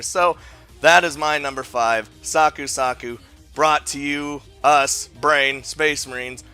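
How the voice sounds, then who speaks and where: A man's voice: a little high, bright, forceful tone. A man giving casual, informal video commentary as a game reviewer, talking in an enthusiastic, rambling way. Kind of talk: casual top-five list commentary.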